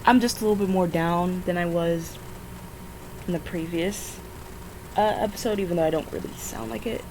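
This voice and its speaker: A woman's voice: lowish voice, moderate pace, darkish tone. Tired scratchy-voiced young woman rambling. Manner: rambling, frequent fillers, self-corrections. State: just woke up, tired. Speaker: vlogger. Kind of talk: casual rambling vlog while drawing.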